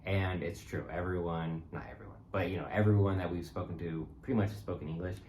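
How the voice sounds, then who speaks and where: A man co-hosting a travel vlog, chatting casually in a relaxed, halting way with many fillers. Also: low-pitched. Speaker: vlog co-host. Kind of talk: casual chat. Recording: echoey room with faint noise.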